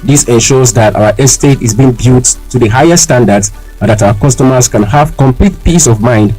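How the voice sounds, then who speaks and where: A man's voice: medium pitch, normal speed, dark tone, husky, natural voice, rough recording. A man with a Nigerian accent speaking as a company spokesman in a scripted, formal radio promotion. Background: music.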